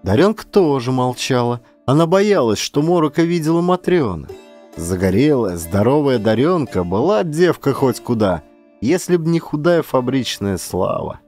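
A man narrating a literary audiobook as an expressive storyteller. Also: mid-range voice, normal speed, faint music behind.

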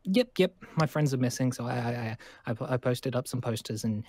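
A man's voice: medium pitch, expressive, fairly quick, a little mellow, no room sound, natural voice. Man playing a stammering, eager lone boy. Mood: nervous, hopeful. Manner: stammering, repeats words in bursts. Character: nervous, eager, friendly lone boy living in abandoned school. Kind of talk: improvised video game roleplay.

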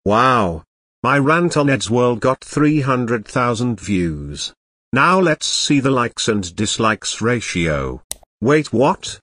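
A man's voice: mid-range voice, animated, slow pace, fairly bright tone, dry recording, clean studio sound. A man voicing a bratty young boy who rants and insults in a cartoon. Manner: whiny, insulting ranting. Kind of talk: cartoon grounded-video dialogue.

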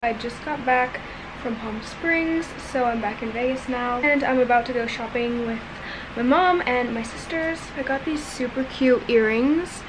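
Young woman, chirpy vlogger, slightly high voice, gushing delivery, noisy camera audio. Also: a little slow. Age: young adult. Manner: bubbly, gushing, upbeat. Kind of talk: casual vlog chat. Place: indoors and outdoors, car and rooms. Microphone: handheld camera at arm's length. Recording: camera mic with clear background noise.

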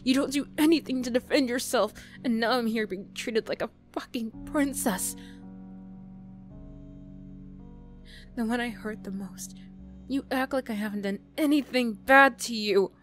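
A woman's voice: on the high side, moderate pace, faint music behind, pitched above the performer's own voice, lightly acted. A woman voicing a defiant, guilt-ridden young female bully in an emotional ASMR roleplay, blunt and defensive. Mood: angry, guilty.

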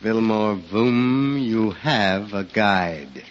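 An actor playing a henpecked, lazy village idler in a 1940s radio drama, drawling playfully with comic repetition and a timid streak. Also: male voice, lowish voice, very slow, over loud music. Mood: sly, interested. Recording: muffled, faintly noisy 1940s radio recording.

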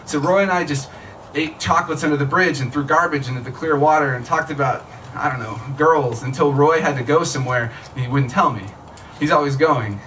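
A man's voice: on the high side, brisk, a bit bright. A man in his thirties reading short fiction aloud at a live event, in a conversational storytelling way. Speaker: author reading own work. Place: small tent stage at night, outdoors. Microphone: stand microphone, recorded by camera from audience. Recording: distant mic, noisy live outdoor event.

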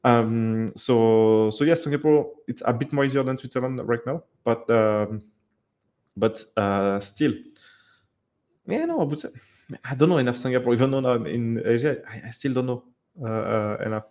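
A man's voice: medium pitch, darkish tone. Man, thirties, live webcam host, casual, hesitant, filler-heavy, dim indoor room. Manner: halting, many fillers and restarts, informal "man". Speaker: livestream host answering viewer questions. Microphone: headset or desk mic, webcam framing.

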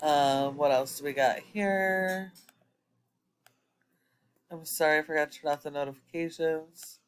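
A man's voice: a little high, measured pace, clear, resonant tone. Man talking through an SEO audit. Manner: explanatory, with frequent ums. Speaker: SEO consultant. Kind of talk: screen-recorded website audit walkthrough.

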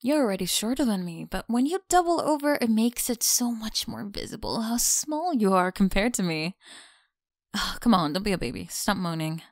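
A woman's voice: mid-range voice, expressive, normal speed, dry recording, studio quality, lightly acted. A young woman with an American accent playing a mean, teasing college bully in an ASMR audio roleplay, mocking and slangy. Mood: mock sympathy, teasing. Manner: mocking, sighing, casual slangy talk. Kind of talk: ASMR audio roleplay, one-sided dialogue.

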